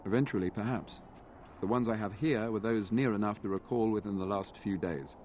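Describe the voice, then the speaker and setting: An actor playing an earnest, dutiful knight in a fantasy video game, speaking formally and courteously. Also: male voice, fairly low pitch, brisk, a little mellow, slight background noise, natural voice. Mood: grave, informative. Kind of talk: video game dialogue acting.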